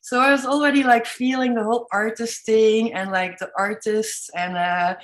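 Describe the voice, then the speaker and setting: A woman's voice: fairly high pitch, steady tone, normal speed. Hesitant Belgian woman telling her story. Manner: hesitant, many ums and self-corrections, non-native English. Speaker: artist guest. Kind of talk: interview storytelling.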